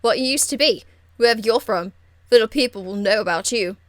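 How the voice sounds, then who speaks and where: A woman acts a brash young female rebel in a fantasy video game. She is cheeky, crude and excitable, blunt and slangy, with a high voice and a dry, close sound. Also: fairly quick, dry recording, close to an everyday voice. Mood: defiant. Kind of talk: video game character acting.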